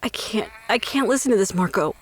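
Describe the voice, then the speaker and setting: A woman playing a determined, compassionate amateur investigator in a naturalistic audio drama, earnest and low-key. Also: lively intonation, fairly quick, a crowd in the background, natural voice. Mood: troubled.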